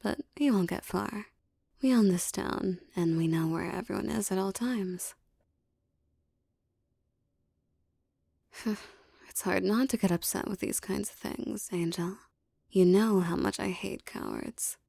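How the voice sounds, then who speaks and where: Casting: woman to play tough mafia girlfriend for ASMR roleplay, soft and teasing, mellow low-lit tone, very dry close sound. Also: unhurried, gravelly, no echo. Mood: disdainful, measured. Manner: intimate, teasing, streetwise tough talk. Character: tough young female mafia boss, affectionate with her lover.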